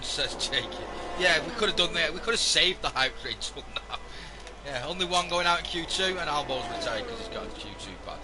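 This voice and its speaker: A man commentating a live sim racing stream, hoarse and losing his voice, joking wearily with the chat. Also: a little high, noisy background. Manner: weary, self-mocking banter with the chat.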